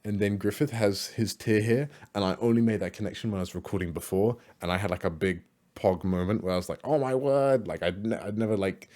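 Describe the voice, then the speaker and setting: A man's voice: fairly low pitch, fairly quick, darkish tone. A man giving casual, rambling reaction commentary on other creators' videos, with many fillers and restarts.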